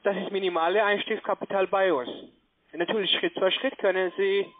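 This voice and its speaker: A man giving a phone sales pitch in halting non-native German with grammar slips, as a call center agent. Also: fairly even intonation. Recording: tinny band-limited phone line.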